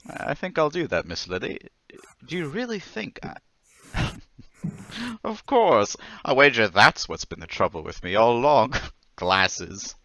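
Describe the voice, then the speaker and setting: An actor playing a meek, awkward suspect in an old-time radio mystery, fussy, halting and excitable. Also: male voice, medium pitch, no echo, dull audio, exaggerated voice. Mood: giddy, bashful. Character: meek, awkward, lovestruck male murder suspect.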